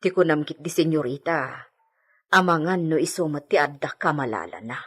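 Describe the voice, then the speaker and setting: An actress playing a gossipy, motherly household servant in a radio drama, fussing in a husky voice. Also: sits low, lively intonation, moderate pace, a bit bright, full character voice. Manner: nagging, confiding, fussing.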